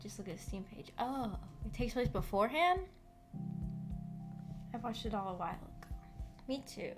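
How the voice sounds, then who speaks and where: Female book club stream host, higher-pitched, informal and giggly, restarts phrases, faint music underneath. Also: expressive, normal speed. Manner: giggly, breaks into small laughs often. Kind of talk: casual livestream discussion with chat.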